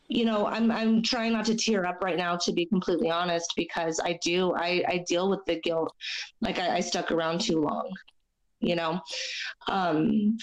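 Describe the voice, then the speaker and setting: A young woman with a raspy, hoarse voice from a chest cold, sharing her story as an interview guest in a halting, earnest way with many fillers. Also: lowish voice, rough recording. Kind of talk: personal testimony in a podcast interview.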